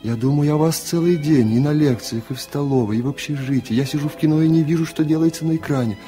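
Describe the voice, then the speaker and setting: A man's voice: a little high, fast talker, warm tone, faint music behind, pitched above the performer's own voice, natural voice. A man playing a shy young student in love, speaking with tender, adoring earnestness in a literary radio reading. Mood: lovestruck, earnest.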